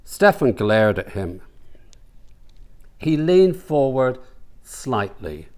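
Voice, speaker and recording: A man reads comic fiction in an audiobook style. His delivery is slow and theatrical, rising and falling widely in pitch as he tells the story. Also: fairly bright tone. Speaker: author reading from his novel.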